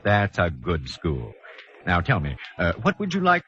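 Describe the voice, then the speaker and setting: An actor playing a jolly, gushing department-store Jack Frost who talks to children in 1940s radio style. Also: male voice, sits low, huge pitch swings, unhurried, pushed and bright, slight background noise, exaggerated voice. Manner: effusive, exclamatory, sing-song with children. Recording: muffled old 1940s radio recording.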